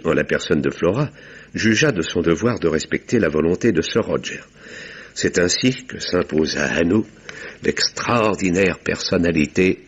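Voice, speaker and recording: An actor playing a courteous, formal village doctor who narrates and talks in a radio mystery with literary theatrical delivery. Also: male voice, deep voice, husky, dull audio.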